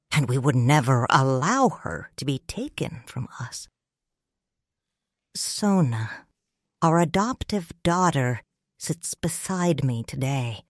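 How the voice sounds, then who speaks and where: A low-voiced woman narrates an audio drama as a proud, grieving noblewoman. Her delivery is slow and formal, with expressive swings in pitch, recorded very dry and close. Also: no echo. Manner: measured, solemn, formal. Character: grieving, proud noble widow, mature and restrained. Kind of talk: scripted first-person audio drama narration.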